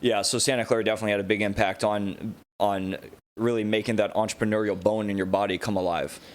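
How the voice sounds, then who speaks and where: A man's voice: on the low side, fairly even intonation, fast talker. A young American man hosting a casual conversational interview, informal and affirming with his guest. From Hawaii, United States. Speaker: podcast host, interviewer.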